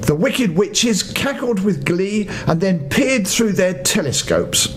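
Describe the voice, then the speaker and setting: Expressive older man, fairly high lively voice. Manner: expressive, dramatic emphasis with drawn-out phrases. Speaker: storyteller. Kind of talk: children's bedtime story reading. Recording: noisy home recording with low rumble.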